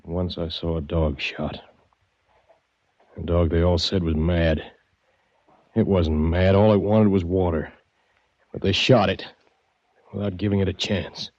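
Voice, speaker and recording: A dry, dull vintage radio recording of a low-voiced American filling station man. He sounds plain, earnest and compassionate, a small-town man who reasons steadily. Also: steady tone, unhurried, pauses often, a little mellow, no room sound. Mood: pleading, gentle. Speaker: supporting radio actor. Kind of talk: 1940s radio drama acting.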